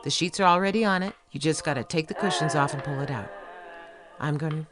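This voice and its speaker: An actress playing a weary, teasing, tender estranged wife in an audio play, speaking in a slow, coaxing way. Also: female voice, low voice, over music, lightly acted. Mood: coaxing, seductive.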